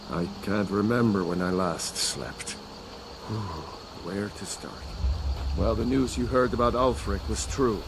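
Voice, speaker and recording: A man's voice: lowish voice, slow pace, background noise. A man playing a weary, earnest, loyal rebel soldier in a fantasy video game.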